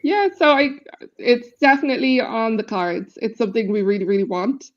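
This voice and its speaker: A woman with a deep voice talks casually about her band, chatty and easygoing, with lots of ums. Her audio comes through a video call and sounds a bit dull and lo-fi. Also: normal speed. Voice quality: self-described deep voice. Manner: chatty, laughs easily, many fillers. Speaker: band member interviewee. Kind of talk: casual interview chat.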